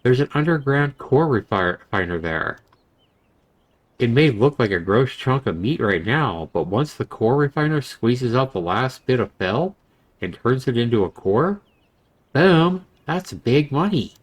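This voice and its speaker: A man's voice: medium pitch, big pitch swings, measured pace. A man voicing a cocky, boastful young best friend in a visual novel, with slangy bro talk. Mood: gleeful.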